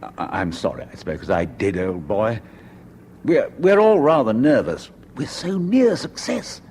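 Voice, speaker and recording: An actor playing a scheming male conspirator who gives curt, hushed orders, in a radio drama. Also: lowish voice, very animated, unhurried, slight background noise. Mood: placating. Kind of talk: 1970s radio drama acting.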